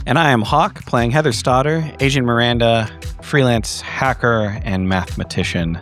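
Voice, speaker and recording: A man narrating a scripted recap of a horror game in a measured, ominous storytelling manner. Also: mid-range voice, a bit bright. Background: background music.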